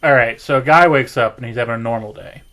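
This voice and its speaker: Casual man telling a story pitch. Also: fast, a bit bright. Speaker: podcast co-host.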